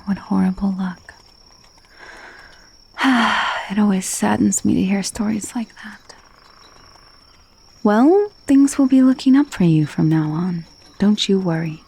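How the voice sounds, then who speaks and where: A woman playing a gentle but possessive, wealthy vampire mistress in an intimate ASMR roleplay, speaking softly and soothingly, often dropping into a whisper. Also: medium pitch, takes it slowly, long pauses. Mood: soothing, welcoming. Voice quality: soft, breathy. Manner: soothing, genteel, hushed, often slipping into whisper. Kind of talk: ASMR roleplay, intimate soft-spoken monologue. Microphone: close, dry microphone.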